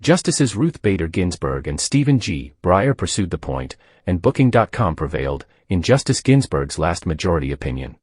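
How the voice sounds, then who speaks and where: Deep husky male news narrator. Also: sing-song, normal speed, hardly any pauses, darkish tone, dry recording, studio quality. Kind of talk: scripted news narration reading an article.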